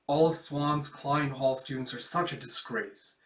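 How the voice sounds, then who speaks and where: A man voicing a severe, pompous old woman, a school disciplinarian who relishes punishment, in an animated story retelling. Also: mid-range voice, unhurried, lightly acted. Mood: stern, haughty. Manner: formal, pompous, menacing. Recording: echoey room.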